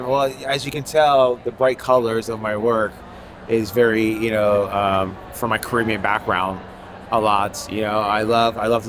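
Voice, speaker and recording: A man's voice: medium pitch, background noise. A man from Haiti, an artist, talking loosely in an interview at an outdoor art fair. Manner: loose and rambling, leaning on filler phrases. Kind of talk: casual interview.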